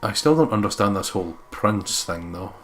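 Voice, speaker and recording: A man's voice: fairly low pitch, expressive, normal speed, fairly bright tone, a little hiss or hum. A man hosting a let's play, reading visual novel narration aloud with some casual commentary.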